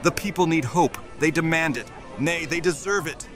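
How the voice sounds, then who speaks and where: A man's voice: on the high side, moderate pace, over music, crowd noise, close to an everyday voice. A man acting an enthusiastic, theatrical amateur musician in an audio drama. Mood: mock indignant.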